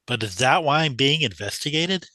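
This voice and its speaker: A man's voice: medium pitch, animated, normal speed, sharp tone, dry recording, poor audio. An actor playing an earnest, humble young mathematician who speaks deferentially to his mentor in a radio drama. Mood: concerned.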